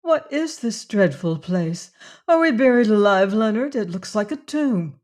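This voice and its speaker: A reader voicing a proud, grieving young woman with a fierce temper, in a higher, louder voice. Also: animated, measured pace, close to an everyday voice. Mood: frightened. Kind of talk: audiobook character voice.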